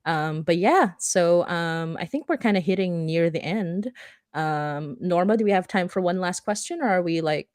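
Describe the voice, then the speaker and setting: A woman with a fairly low voice hosts a live podcast panel. She talks casually and effusively, in an informal, chatty way. The sound is dry, with no room echo. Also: expressive, normal speed, darkish tone.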